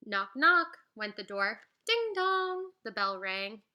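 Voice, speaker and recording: Woman, children's read-aloud, slow pace, piercingly bright tone, animated singsong storytelling. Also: a little high. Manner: animated, singsong rhyming delivery with teacherly asides. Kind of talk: expressive children's picture book read-aloud.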